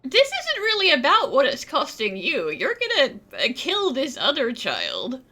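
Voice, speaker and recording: An indignant young woman in a video game. Her voice is high and forceful, with huge swings in pitch. She talks bluntly and casually, with sarcasm, over faint music. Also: huge pitch swings, measured pace, heavily acted. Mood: defiant.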